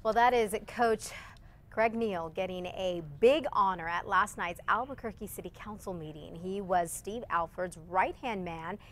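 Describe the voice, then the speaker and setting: A woman news anchor reading morning headlines briskly, with light friendly banter and a slightly scratchy voice. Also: on the low side. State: scratchy voice from allergies.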